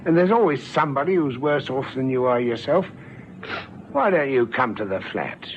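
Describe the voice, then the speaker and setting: Casting: actor for stammering, kindly, anxious male caretaker, animated intonation, muffled vintage radio drama with faint hiss. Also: medium pitch, moderate pace, lightly acted. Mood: coaxing, anxious. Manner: hesitant, stammering, coaxing. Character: nervous, well-meaning married male block caretaker. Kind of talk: old radio drama acting. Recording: muffled old radio recording, faint noise.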